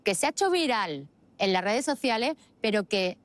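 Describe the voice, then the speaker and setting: A woman's voice: medium pitch, sing-song, moderate pace, dry recording. A woman giving opinionated political commentary as a host, arguing emphatically with rhetorical questions and repeating phrases for stress. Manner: argumentative, uses rhetorical questions.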